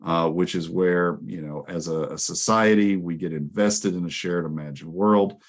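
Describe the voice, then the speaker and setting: A man presenting academic ideas in a measured, scholarly way, explaining concepts with small asides and check-in questions. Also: low-pitched. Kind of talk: conference presentation, explanatory lecture.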